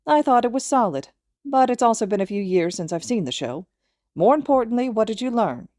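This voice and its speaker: A woman voicing a bossy elderly female healer in an audiobook, brisk and dry-humored. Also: fairly high pitch, big pitch swings, darkish tone, no room sound, pitched above the performer's own voice. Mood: wry, amused.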